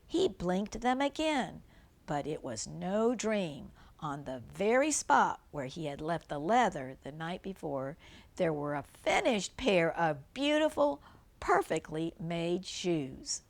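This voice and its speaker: An older woman reads a children's story aloud at an unhurried pace, with lively rises and falls in pitch. She speaks as a grandmother would to a small child, stopping to explain things simply. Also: gravelly. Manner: simple explanations, gentle questions to a young listener. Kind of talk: read-aloud children's storybook with chatty asides.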